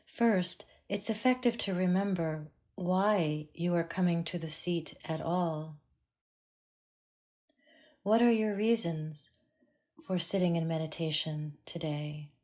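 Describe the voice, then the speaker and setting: Slow, low-voiced woman guiding meditation. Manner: calm, measured, with long pauses. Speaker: meditation teacher. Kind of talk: guided meditation.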